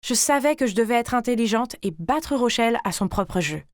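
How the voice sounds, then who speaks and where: A woman reading a scripted first-person story in a theatrical, expressive way, like a teenage girl telling her own drama. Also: fairly high pitch, a little fast, no room sound, clean studio sound.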